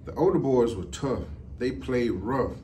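A man's voice: mid-range voice, expressive, measured pace, mellow. Middle-aged man reading aloud, slightly distant. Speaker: deputy fire chief. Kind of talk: children's storybook reading. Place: indoor office, seated. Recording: distant mic, some background noise.

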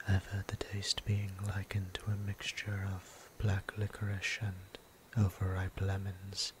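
A man voicing a gentle, courtly vampire priest in an ASMR roleplay, breathy and half-whispered, with formal, tender, old-fashioned phrasing. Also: low voice, poor audio. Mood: caring, soothing. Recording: clear background noise and ambience.

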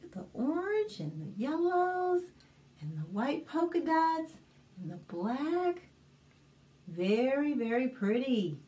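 An American woman reads to young children as a storytime host, with a fairly high voice that swings widely in pitch. She speaks very slowly and deliberately, expressively pointing things out in simple words. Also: very animated, warm tone. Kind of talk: reading a picture book aloud to young children. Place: small indoor room, plain backdrop.

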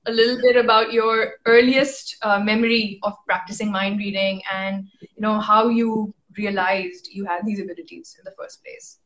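A woman's voice: mid-range voice, steady tone, normal speed, hardly any pauses, fairly bright tone, lo-fi sound. A woman, hosting a casual live interview from a room at home on a phone. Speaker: host and interviewer. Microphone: phone at arm's length.

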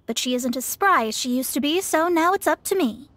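A woman's voice: high-pitched, animated, brisk, fairly bright tone, no echo. A woman voicing a proud, eloquent young astrologer in an anime game, with a pompous, formal delivery. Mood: proud, assured.

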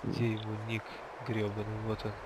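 A man's voice: on the low side, monotone, normal speed. A man chatting casually with his teammates while playing a game together. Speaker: gamer in a group session. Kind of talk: casual gaming chat. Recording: clearly noisy voice-chat microphone.

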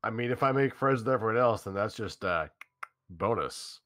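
Guy reading caring young male visual novel lead, low voice, earnest, pretty animated. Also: moderate pace, no room sound, studio quality. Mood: earnest, reassuring.

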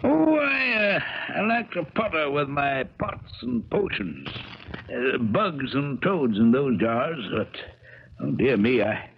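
An actor playing an eccentric, elderly hermit uncle in a 1970s radio drama, genial but secretive, with a folksy, halting manner. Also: male voice, medium pitch, sing-song, vocal fry, slightly rough, full character voice. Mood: flustered. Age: older. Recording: muffled, crackling 1970s radio recording.

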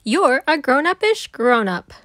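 Little child played by a woman, storybook character, cheeky, taunting, whiny, high voice, dry recording. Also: big pitch swings, a little slow, fairly bright tone, no room sound, heavily acted. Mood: cheeky, defiant. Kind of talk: children's storybook read-aloud.

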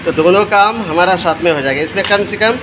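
A man's voice: sits high, a little fast. A man casually narrating a cooking demonstration as the cook, in a step-by-step way. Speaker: cook presenting a recipe. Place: restaurant kitchen, indoors. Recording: noisy kitchen, loud background roar.

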